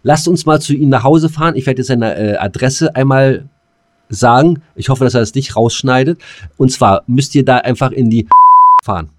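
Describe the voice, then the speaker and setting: A man's voice: mid-range voice, moderate pace. Jokey man bantering on a podcast. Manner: jokey, slangy, teasing. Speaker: podcast co-host. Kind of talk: casual banter chat.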